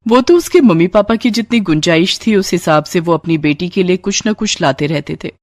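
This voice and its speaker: A woman's voice: fairly low pitch, brisk, a little mellow, no room sound, studio quality. A woman storyteller reading scripted narration of a devotional moral tale.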